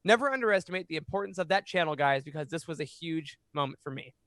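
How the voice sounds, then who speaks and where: A man in his thirties hosting a fan podcast, speaking in an animated, emphatic way into a close microphone. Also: fairly high pitch, quick pace. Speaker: podcast host. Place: small home room, indoors. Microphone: close studio microphone on boom arm.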